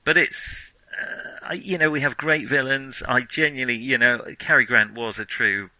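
Male co-host, sharp bright voice, dry recording, hesitant and self-correcting in casual film talk. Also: medium pitch, fairly even intonation, moderate pace, no room sound, poor audio.

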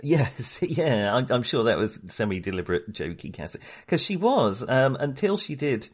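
A man's voice: medium pitch, big pitch swings, normal speed, husky. A man co-hosting a casual film discussion, giving his opinions bluntly and emphatically. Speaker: film podcast co-host.

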